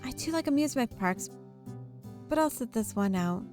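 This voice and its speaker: A woman's voice: on the high side, lively intonation, moderate pace, a little mellow. Woman reading a game story over music. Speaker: video game streamer. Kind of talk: reading visual novel text aloud with casual asides.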